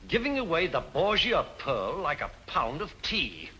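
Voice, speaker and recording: An actor playing a pompous, indignant English museum official, emphatic and formal, on a noisy old film soundtrack. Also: male voice, a little high, wildly swooping pitch, unhurried, pushed and bright, full character voice. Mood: scathing. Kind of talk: vintage detective film acting.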